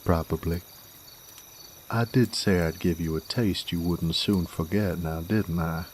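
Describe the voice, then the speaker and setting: Deep, slow-drawling Southern cowboy rogue, acted by a man. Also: fairly even intonation, a little hiss or hum. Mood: smug, taunting. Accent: American Southern. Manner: drawling, folksy, teasing. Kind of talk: scripted roleplay audio drama.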